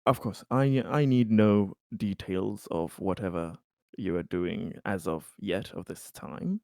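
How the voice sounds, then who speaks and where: A man playing a tabletop roleplaying game, speaking in improvised dialogue with hesitant, deliberate phrasing. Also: fairly low pitch, dark tone, dry recording, clean studio sound.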